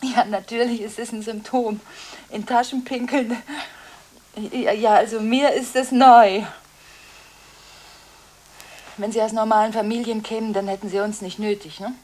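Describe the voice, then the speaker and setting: An actress playing a volatile, contradictory school headmistress in a radio drama, talking restlessly on the phone, breaking off and repeating herself. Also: female voice, fairly high pitch, steady tone, moderate pace, a little mellow, natural voice. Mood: defensive, unsettled.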